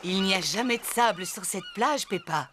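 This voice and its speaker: A woman's voice: mid-range voice, lively intonation, moderate pace, very noisy, close to an everyday voice. A woman voicing a comforting, cheerful grandmother pig in a children's cartoon. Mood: reassuring. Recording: loud music behind the voice.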